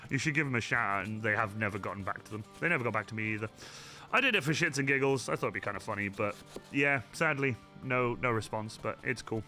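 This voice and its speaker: Bloke streaming his prop build, bright voice, swears loads, bit of music in the background. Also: fairly low pitch, lively intonation, fast talker. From Wales. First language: Welsh. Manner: chatty, sweary, reads chat aloud. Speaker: cosplay streamer. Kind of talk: casual live-stream chat while crafting. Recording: faint music behind.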